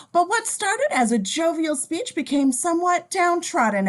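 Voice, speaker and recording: A woman plays a polished female news reporter in a radio play. Her high voice moves with lively, presentational intonation.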